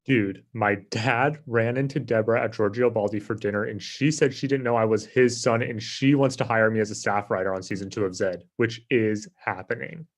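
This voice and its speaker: A man's voice: on the low side, steady tone, a little fast, few pauses. An actor playing a laid-back, privileged young man who talks casually and self-absorbedly in a comedy table read. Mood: casual, oblivious.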